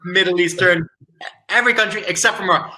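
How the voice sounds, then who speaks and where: Young guy tutor on a video call, super bright tense voice, animated storyteller. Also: high-pitched, quick pace, low-quality recording. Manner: animated, joking storyteller, mimics locals. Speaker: online English tutor. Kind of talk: casual video call chat.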